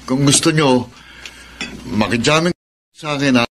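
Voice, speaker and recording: A man's voice: mid-range voice, unhurried, noisy background, lightly acted. An actor playing an earnest, lovelorn young man hiding behind a pretence in a Filipino radio melodrama. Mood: bitter, drowning sorrows. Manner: earnest, dramatic delivery.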